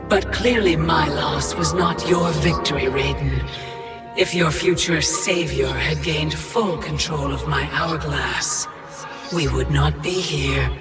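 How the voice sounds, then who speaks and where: An actor playing a smug, scheming ancient sorcerer in a video game cutscene, speaking in a gravelly, deliberate way. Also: male voice, fairly high pitch, music in the background. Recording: game audio with loud score.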